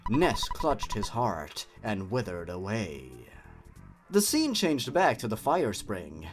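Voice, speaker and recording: A man reads a horror tale aloud in a highly animated voice, his pitch swinging widely. He hosts with a mocking edge, slipping into sarcastic, exasperated asides. Music plays behind him. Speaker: host. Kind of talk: dramatic reading of a horror story with mocking asides.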